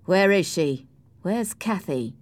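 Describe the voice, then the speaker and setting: A woman voicing a sly, menacing gentleman villain in an audiobook, assured and deliberate. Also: lowish voice, animated, dry recording. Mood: defiant. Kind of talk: audiobook character voice.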